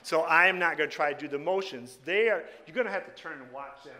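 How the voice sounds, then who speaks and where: Bright, high-voiced male preacher in echoing hall. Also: quick pace. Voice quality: slightly raspy. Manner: folksy, teasing, joking with the congregation. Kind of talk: informal sermon with congregational singing. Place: large reverberant room before a congregation. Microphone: microphone on a stand or PA. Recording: echoey hall with long reverb.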